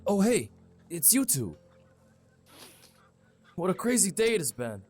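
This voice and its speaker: A man plays a kindly, folksy town mayor. His pitch moves up and down a great deal, and his manner is informal and reassuring, as in an audio drama. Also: big pitch swings, measured pace, a little mellow, soft background music, exaggerated voice. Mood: weary greeting.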